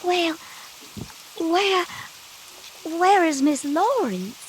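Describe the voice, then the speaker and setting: A woman's voice: very high-pitched, very animated, very slow, a little hiss or hum, heavily acted. An actress playing a flustered, officious female hotel clerk, fussy and hesitant, in old radio drama style. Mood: flustered, nervous. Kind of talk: 1940s radio detective drama acting.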